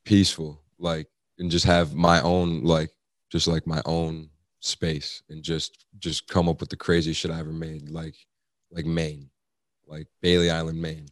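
A young man with a very low baritone, a rapper talking casually as an interview guest. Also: flat delivery, a little slow, dry recording, studio quality. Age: young adult. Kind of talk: casual chat.

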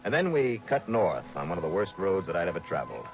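An American man playing a brisk, wry insurance investigator in a 1960s radio detective drama, with clipped, punchy delivery. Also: low-pitched, very animated. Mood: descriptive, dry. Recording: dull vintage radio recording with music.

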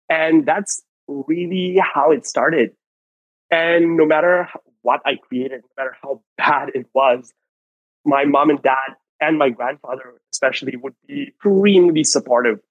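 A man's voice: medium pitch, no echo, muffled recording. A young man from Malaysia, a podcast guest telling stories from his childhood in a loose, rambling way full of "like" and restarts. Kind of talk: casual storytelling interview. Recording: dry close mic, faint music behind.

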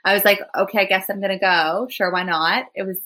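A woman's voice: mid-range voice, fairly even intonation, fairly quick, sharp tone. A woman animatedly and enthusiastically telling a couple's story in casual chat.

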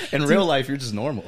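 A young man guesting on a podcast as a comedian, joking and laughing often in loose casual talk. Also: a little high. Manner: joking, laughs a lot, mumbly fillers. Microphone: studio mic.

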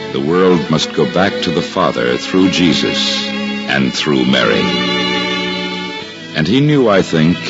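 An actor playing a grave, devout old man narrating sacred memories in solemn, measured 1950s radio drama style. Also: rumbling low, full character voice. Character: devout elderly male narrator recalling sacred events, grave. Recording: dull 1950s radio recording, music behind.

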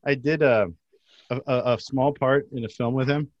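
A young man with a Mexican American accent chats casually as a host, trailing through long rambling stories and laughing as he goes. His voice sits at a middling pitch. The recording is close and dry, with no room sound. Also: steady tone, moderate pace, no room sound, dull audio. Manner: rambling storyteller, laughs often. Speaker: actor, podcast host. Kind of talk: casual chat.

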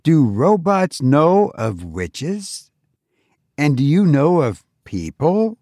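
A man's voice: on the high side, sing-song, slow, dark tone, no room sound, studio quality, pitched above the performer's own voice, exaggerated voice. A man voicing a curious young child earnestly asking questions.

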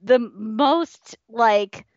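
A woman co-hosting a podcast in a casual, conversational way, quick to giggle. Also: fairly high pitch, no echo, highs cut off. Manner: giggly, conversational, frequent laughter. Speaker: podcast co-host. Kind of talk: casual chat.

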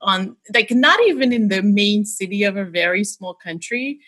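A woman's voice: mid-range voice, moderate pace, a bit bright. A woman in her thirties from Hungary, whose first language is Hungarian, telling her story as a guest in a casual interview, with frequent restarts. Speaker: podcast guest.

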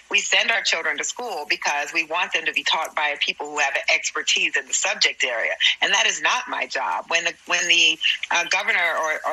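A woman answering in an interview, measured, with some restarts, played back as a clip. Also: low-pitched, pushed and bright, breathy edge, poor audio. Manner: measured, repeats words when starting. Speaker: interview guest.

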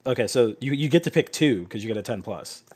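A man's voice: medium pitch, expressive, fast talker, darkish tone, breathy edge. A man acting as game master, explaining options and narrating a tabletop game in informal, profane talk.

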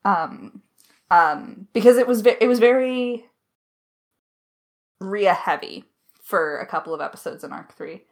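A woman's voice: mid-range voice, expressive, measured pace, pauses often. A woman co-hosting a podcast, chatting casually and playfully in an informal Q&A. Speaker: podcast co-host and audio drama writer. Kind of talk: casual Q&A chat.

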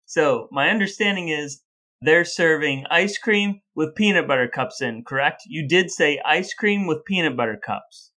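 A man's voice: a little high, expressive, moderate pace, bright, forceful tone. An American man co-hosting a podcast in a conversational style. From United States. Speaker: podcast co-host.

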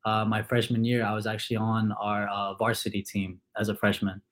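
A young man from Kuwait, a college football player, answering interview questions in a relaxed way with many fillers. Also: fairly low pitch, brisk. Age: young adult.

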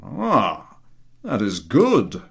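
A man voicing a gruff, unhurried military general with a blunt, reserved manner in a story reading. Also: fairly low pitch, wildly swooping pitch, exaggerated voice. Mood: reserved approval.

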